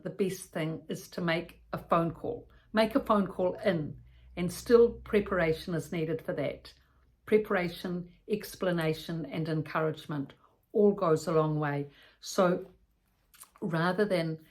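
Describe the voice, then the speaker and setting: A woman giving an instructional talk as a care educator, explaining step by step with practical examples and repeated points. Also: on the low side.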